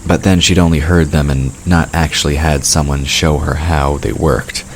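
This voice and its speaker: A man reading scripted narration for a fantasy audio drama in a measured storytelling manner. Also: extremely low pitch, fairly even intonation, slight background noise, poor audio.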